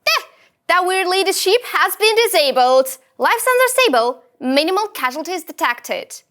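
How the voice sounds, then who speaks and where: High, bright, chirpy young female pilot acted by a woman. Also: sing-song, moderate pace, heavily acted. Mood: perky. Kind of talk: table read of comic sci-fi game script.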